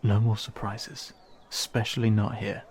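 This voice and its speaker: A man's voice: fairly low pitch, level tone, moderate pace, a little mellow, natural voice. A man playing a wary young male cat-person guide in a fantasy audio drama, speaking casually and hesitantly straight to the listener. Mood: guarded, urgent. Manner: hesitant, casual, conversational asides.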